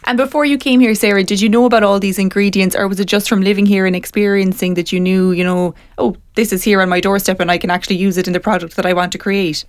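A woman's voice: mid-range voice, fairly even intonation, fast, hardly any pauses, no echo, clean studio sound. A woman interviewing a guest, asking long, encouraging questions with plenty of fillers. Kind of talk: radio-style interview questions.

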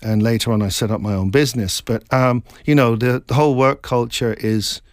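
Middle-aged male author from Scotland, mid-pitched, reflective and rambling interviewee. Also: steady tone, no echo, studio quality. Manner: reflective, meandering, halting with many restarts. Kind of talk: casual interview chat.

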